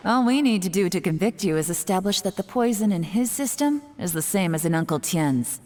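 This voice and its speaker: A woman's voice: medium pitch, expressive, fairly quick, a little mellow, big-room sound, soft background music, natural voice. An actress playing a confident female spy with a casual, wry delivery. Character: cool, teasing female spy. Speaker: game character.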